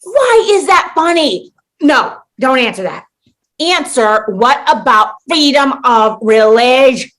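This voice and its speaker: A woman's voice: sits high, animated, slow pace, fairly bright tone, exaggerated voice. A woman playing a bewildered, exasperated sitcom newcomer in a comedic radio play, sarcastic and dramatically indignant. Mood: baffled, skeptical.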